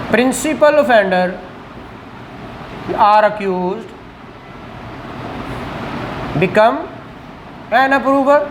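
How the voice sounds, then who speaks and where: A man from Pakistan giving a law lecture, who asks questions and answers them himself and mixes in English legal terms. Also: very high-pitched. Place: room with a whiteboard. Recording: roomy echo with faint background noise.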